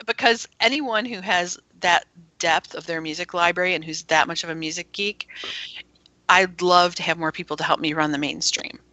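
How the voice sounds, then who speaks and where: A woman who runs an internet radio station, chatting as a guest, animated and laughing often as she explains things. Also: lowish voice, normal speed, dry recording, poor audio. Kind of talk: casual interview chat.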